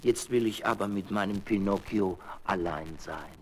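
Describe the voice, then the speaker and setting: An actor playing an old, weak, tender-hearted father in a children's radio play, speaking haltingly and with feeling. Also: fairly low pitch, a little mellow, reverberant, big-room sound, slight background noise, close to an everyday voice. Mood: weary.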